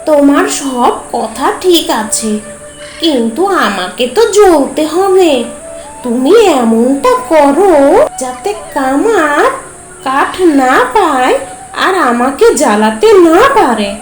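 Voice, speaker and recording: A talking fire from a cartoon folk tale, voiced by a woman, reasoning calmly and giving advice. The voice is high, with a swooping melody and a slow pace. It sounds like a roomy, echoey voice-over. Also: faint music behind.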